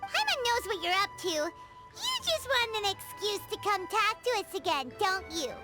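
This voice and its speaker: A woman voicing a small, excitable, childlike fairy companion in a video game, with a squeaky voice and exclamatory way of talking. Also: moderate pace. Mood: suspicious. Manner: refers to self in third person, exclamatory. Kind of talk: animated video game voice acting. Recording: music behind the voice. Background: game music.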